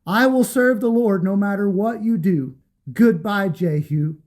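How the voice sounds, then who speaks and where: A man's voice: high-pitched, a little slow, a little mellow. A man voicing a devout, principled companion who warns the king, speaking in an earnest, pleading way in an audio drama.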